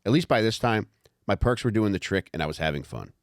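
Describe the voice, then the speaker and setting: A man narrating a comic memoir audiobook in a wry, measured, profane way. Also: on the low side, lively intonation, dry recording, studio quality.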